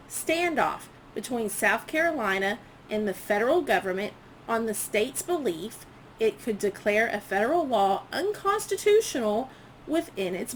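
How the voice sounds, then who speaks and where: A woman's voice: on the high side, slight background noise, rough recording. A woman history teacher reading quiz questions aloud to students, enunciating each prompt item by item in an instructional way.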